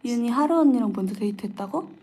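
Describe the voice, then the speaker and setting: A woman's voice: fairly high pitch, lively intonation, fast talker, dark tone. Playful young woman livestream host. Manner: playful, teasing questions. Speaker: idol group member hosting a livestream. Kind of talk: casual livestream chat.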